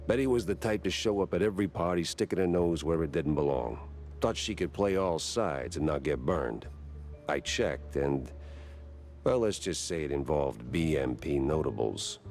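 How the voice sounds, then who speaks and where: An actor playing a wry, world-weary hardboiled mouse private detective in a noir cartoon game. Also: male voice, extremely low pitch, expressive, moderate pace, a little mellow, soft background music. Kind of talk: noir game voice acting with narration.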